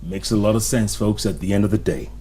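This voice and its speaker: A man with a Kenyan English accent hosting a live TV talk show, upbeat and animated as he signs off. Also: lowish voice, brisk, husky.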